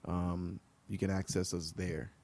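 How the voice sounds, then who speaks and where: A man from Liberia, a guest on a podcast, talking in a relaxed, earnest way with many ums. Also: low voice, unhurried. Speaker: guest, outdoor group co-founder. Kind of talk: casual podcast interview.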